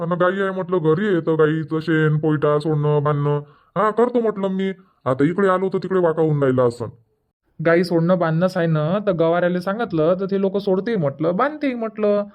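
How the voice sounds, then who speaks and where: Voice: medium pitch, moderate pace, a little mellow, lightly acted. A voice actor playing a sarcastic village husband and dutiful son in a cartoon comedy, speaking insistent, emphatic rural Vidarbha Marathi. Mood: persuading. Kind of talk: cartoon comedy dub dialogue.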